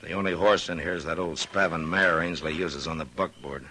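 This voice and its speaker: Stern old-west lawman, really deep and forceful, terse, on a hissy old radio show. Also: steady tone, a little fast. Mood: matter-of-fact. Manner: terse, commanding, probing. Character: stern, steady frontier lawman, grown man. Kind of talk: 1950s radio western acting. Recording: noisy old radio recording.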